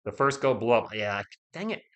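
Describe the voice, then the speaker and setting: A man's voice: mid-range voice, sing-song, moderate pace. Chatty man hosting a live stream. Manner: chatty, talks to chat, loud bursts when testing. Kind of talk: casual live-stream chat. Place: home streaming setup, indoors. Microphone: laptop or desk mic.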